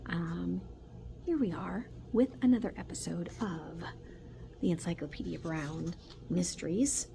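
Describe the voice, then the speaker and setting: A woman, a teacher, reading a children's story aloud in an expressive way with the odd stumble. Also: lowish voice, unhurried, creaky. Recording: noticeable background noise.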